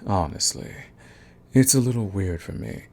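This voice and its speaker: Deep-voiced, teasing male villain, acted by a man. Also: animated, moderate pace, darkish tone, a little hiss or hum. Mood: casual, fond. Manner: taunting, flirtatious, drawling menace. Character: smug, teasing male villain fond of the enemy. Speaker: audio roleplay voice actor. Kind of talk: intimate ASMR romance roleplay acting.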